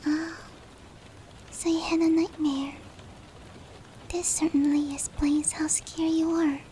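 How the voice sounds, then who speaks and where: A woman voicing a gentle, caring female demon in an intimate roleplay ASMR, whispering softly and breathily to comfort a human. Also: high-pitched. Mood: concerned, reassuring. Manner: slow, tender, reassuring. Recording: steady rain noise behind. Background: rain.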